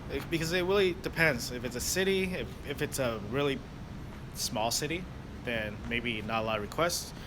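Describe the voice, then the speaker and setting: A man's voice: mid-range voice, normal speed. A young American man, a delivery driver, chatting loosely with livestream viewers, full of fillers and restarts. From United States. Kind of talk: casual livestream chat answering viewers. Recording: noisy outdoor street background. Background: traffic and street noise.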